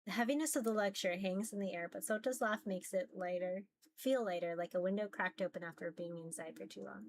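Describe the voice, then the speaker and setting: A woman's voice: medium pitch, fairly quick, slight background noise. A woman streamer reading a visual novel aloud as narration and commenting casually as she plays.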